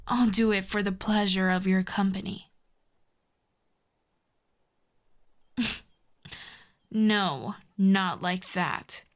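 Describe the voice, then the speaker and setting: A woman playing a proud telepathic villainess with a soft spot, in an intimate ASMR roleplay, haughty and teasing. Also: mid-range voice, natural voice. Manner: haughty, teasing, slow deliberate delivery with soft whispers. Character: proud telepathic villainess, secretly fond of a hero.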